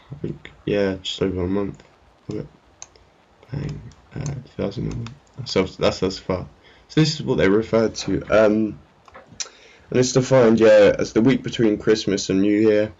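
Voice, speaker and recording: Very deep, creaky, hesitant man. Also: a little mellow, highs cut off. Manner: hesitant, rambling. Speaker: trading analyst presenting his market update. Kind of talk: casual unscripted screen commentary. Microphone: amateur screen-recording voice-over.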